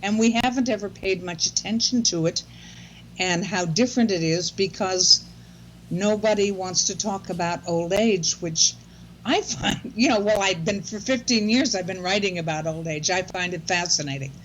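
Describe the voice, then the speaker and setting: A woman's voice: medium pitch, normal speed, a little hiss or hum, poor audio. An older woman as a guest in a casual chat, speaking in a thoughtful, reflective way.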